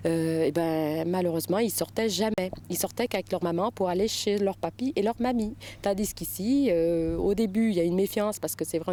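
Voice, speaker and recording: A woman from the Saint-Étienne region, talking in a halting way with many fillers in an interview. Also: fairly low pitch, warm tone, slight background noise. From Saint-Étienne region, France. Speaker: interviewed resident.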